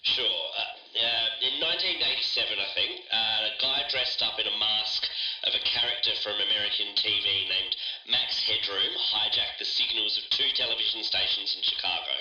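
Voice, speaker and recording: A man playing a chatty television technician who explains things in a halting, rambling way, thinking aloud as he goes. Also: on the low side, sharp tone, highs cut off, soft background music. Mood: thoughtful, explaining. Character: hesitant, chatty male television technician recalling broadcast hijackings. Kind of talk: interview answers, explaining. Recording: echoey, distant, radio-like sound.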